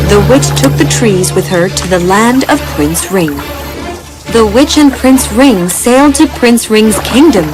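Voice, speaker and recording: A woman's voice: medium pitch, low-quality recording. A woman reading a scripted bedtime story as a storyteller. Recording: music behind the voice. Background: background music.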